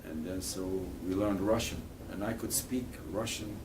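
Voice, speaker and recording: This is an older man with a deep, low voice. He talks to schoolchildren haltingly and earnestly, often breaking off and starting a phrase again. He sits well back from the mic in a school room, with steady background noise. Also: recorded from a distance. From Poland. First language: Polish. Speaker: guest speaker. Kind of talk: talk and Q&A with schoolchildren.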